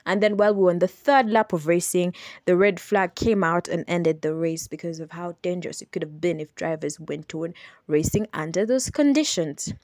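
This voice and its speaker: A young adult woman with a Ugandan accent, hosting a solo podcast and explaining things in an unhurried way with some hesitant fillers. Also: fairly low pitch, darkish tone, dry recording. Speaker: podcast host.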